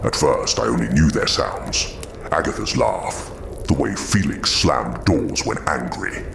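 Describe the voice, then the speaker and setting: A haunted giant creature for an audio drama, super low and slow, gentle but weary, noisy background. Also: male voice, very animated, creaky, full character voice. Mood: wistful. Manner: slow, weighted, halting delivery.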